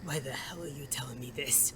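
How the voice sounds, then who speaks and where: An actor playing a gruff, defiant, world-weary detective in a noir audio drama, blunt and sardonic, with a husky rasp. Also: medium pitch, a little fast, noisy background, lightly acted. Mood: wary, hostile.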